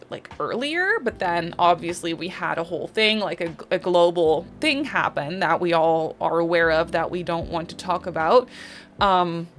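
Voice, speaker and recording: A young woman from the Netherlands with a Dutch accent, chatting casually over a game build and rambling with lots of fillers. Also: mid-range voice. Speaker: Sims builder and YouTuber.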